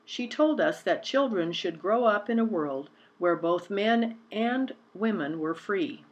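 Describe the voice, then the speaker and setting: A woman's voice: medium pitch, expressive, measured pace, muffled recording. A woman narrating a children's picture book in a scripted read-aloud style.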